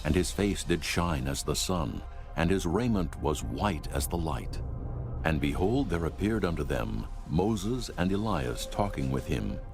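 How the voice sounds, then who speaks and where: Male scripture narrator: extremely low, creaky voice, solemn storytelling, prominent music underneath. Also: animated, unhurried.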